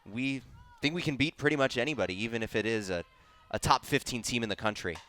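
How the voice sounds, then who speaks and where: A man's voice: mid-range voice, fast, fairly bright tone, a little hiss or hum. A man giving color commentary, adding background notes in a conversational way.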